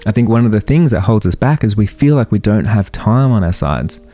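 Earnest low-voiced man urging listeners. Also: expressive, a little fast, dark tone, soft background music. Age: 30s. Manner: earnest, urging, full of rhetorical questions. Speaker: podcast host. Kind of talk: solo motivational podcast monologue.

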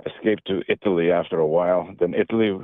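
A man in his sixties, originally from Albania, calling in to a radio show and talking in a halting way with many restarts. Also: sits low, steady tone, no echo. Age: 60s. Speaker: caller. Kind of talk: casual phone-in chat. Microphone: telephone. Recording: tinny phone line.

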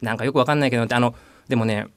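A man's voice: medium pitch, rapid-fire, dry recording. A man chatting casually as a co-host, polite and prompting like a sidekick. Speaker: show writer and co-host. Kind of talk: casual chat.

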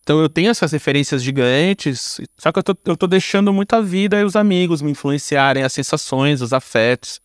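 A man's voice: fairly high pitch, lively intonation, brisk, few pauses, clear, resonant tone, dry recording, studio quality. A man with a Brazilian accent chatting casually as an interview guest, informal and slangy, often adding little tag questions. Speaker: podcast guest, screenwriter. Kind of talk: casual conversational interview answers.

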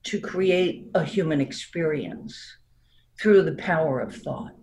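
Unhurried older woman, New York accent. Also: sits low, darkish tone, slight background noise. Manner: unhurried, reflective, laughs between thoughts. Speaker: marriage and family therapist guest. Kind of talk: reflective interview talk.

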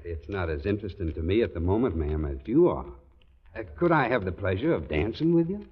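Low, husky courtly gentleman. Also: very animated, fairly quick, heavily acted. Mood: gallant, flirtatious. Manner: formal, courtly, gallant. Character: courtly, smooth-mannered government gentleman, gallant charmer. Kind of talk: 1940s radio comedy acting. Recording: dull vintage radio recording.

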